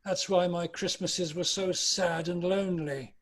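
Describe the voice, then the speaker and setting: Man acting old miser, high-pitched voice, frightened, stubborn, amateur drama table read. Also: steady tone, unhurried. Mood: shaken, wistful.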